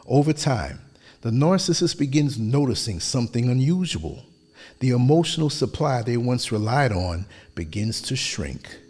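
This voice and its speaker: A man hosting a podcast, giving a motivational monologue in short, emphatic lines, his voice hoarse from a long day of talking. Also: medium pitch, big pitch swings, a little mellow. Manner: measured, emphatic delivery in short declarative lines. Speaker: podcast host.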